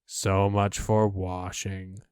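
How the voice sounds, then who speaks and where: A man voicing a gloomy, self-pitying old donkey who grumbles slowly and low. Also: flat delivery, darkish tone, clean tone, no echo, clean studio sound.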